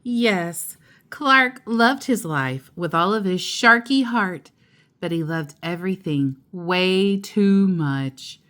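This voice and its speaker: A woman reading a children's picture book aloud in an expressive, playful way, with chatty asides. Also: fairly low pitch, takes it slowly. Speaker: storytime host and narrator.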